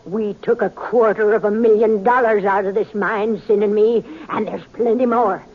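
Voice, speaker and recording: A woman's voice: medium pitch, fairly even intonation, normal speed, close to an everyday voice. A proud, stubborn old prospector woman of the frontier, played by an actress, talks in folksy, defiant western speech. Her voice sits in a vintage radio recording, thin and narrow like a phone line. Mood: stubborn, assured. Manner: folksy, defiant, rustic grammar. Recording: tinny, band-limited vintage radio recording.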